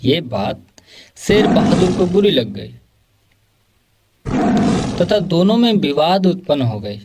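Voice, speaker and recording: A man's voice: fairly high pitch, sing-song, takes it slowly, heavy background noise. A man reading scripted narration for a children's moral story.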